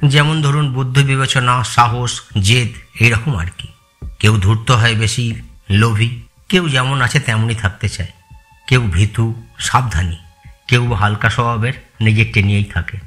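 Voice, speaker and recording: A man's voice: mid-range voice, measured pace, fairly bright tone, soft background music, natural voice. Man playing a guarded, deferential family retainer. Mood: reflective, cautious. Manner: polite, hesitant, guarded answers. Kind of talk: audio story dramatised dialogue.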